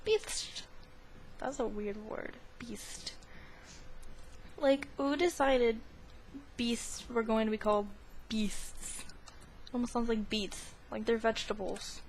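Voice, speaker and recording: A woman's voice: fairly high pitch, slow, long pauses, slight background noise. A woman doing casual, rambling gameplay commentary in a playful, giggly way as the host of a gaming video. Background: game sound.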